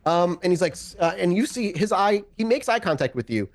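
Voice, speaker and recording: A man's voice: high voice, sing-song, brisk. Casual man narrating a tabletop game. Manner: casual, profane, conversational. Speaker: game master.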